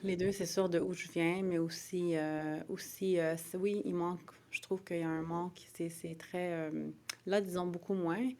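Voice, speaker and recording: Woman, deep-pitched, gravelly fry, Quebec French accent, interview guest, hesitant, rephrasing as she goes. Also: level tone, moderate pace, mellow, a little hiss or hum. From Montreal, Quebec, Canada. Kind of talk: conversational interview answers.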